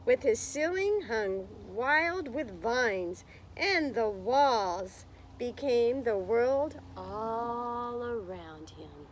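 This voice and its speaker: Slow-paced woman reading to young children. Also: a little high, slight background noise, low-quality recording. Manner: expressive, encouraging, explains to young children. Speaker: storyteller reading picture books to children. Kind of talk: slow children's read-aloud with chatty asides to listeners.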